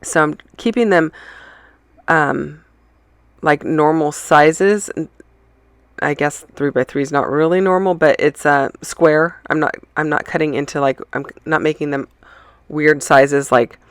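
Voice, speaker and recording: A woman's voice: deep voice, unhurried, clean tone, no echo. A woman narrating a scrapbooking process video in a rambling, chatty way, a little stuffy from a cold. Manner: rambling, chatty, many ums and self-corrections. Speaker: scrapbooking channel host. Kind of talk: casual process-video voice-over.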